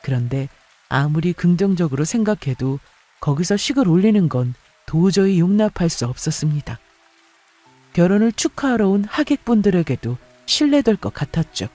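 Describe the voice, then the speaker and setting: High-pitched, lively male story narrator. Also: normal speed, no echo, soft background music. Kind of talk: dramatic scripted first-person narration.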